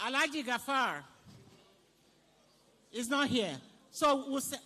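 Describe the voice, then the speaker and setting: A man's voice: very high voice, lively intonation, slow, bright, forceful tone. A Nigerian man working as master of ceremonies at a live event, playfully repeating himself and calling out to guests in an echoey hall. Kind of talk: live hosting at a fundraising book launch. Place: large event hall, on a PA microphone. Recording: echoey hall public address.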